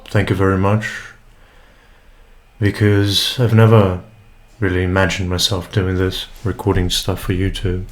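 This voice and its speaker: Man, young, from Brazil, Portuguese first language, very low voice, halting and thoughtful, home desk mic. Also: level tone. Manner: hesitant, many fillers and restarts, reflective. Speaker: English tutor and vlogger. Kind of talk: casual first vlog introduction. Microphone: USB desk mic, unprocessed.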